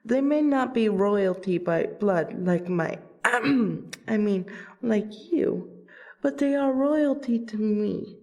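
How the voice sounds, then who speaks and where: A woman playing a courteous royal vampire and loyal friend in a fantasy audio roleplay, with a polite, theatrical, deliberate delivery. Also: mid-range voice, expressive, dark tone. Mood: pleading, awed.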